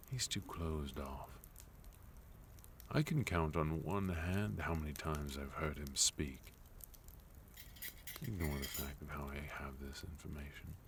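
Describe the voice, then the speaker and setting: Man playing a very deep, unhurried, sophisticated villain. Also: a little hiss or hum, full character voice. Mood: composed, softly menacing. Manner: measured, courteous, softly menacing, deliberate pauses. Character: sophisticated, composed male villain, leader of enemy organization. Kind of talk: intimate ASMR roleplay monologue.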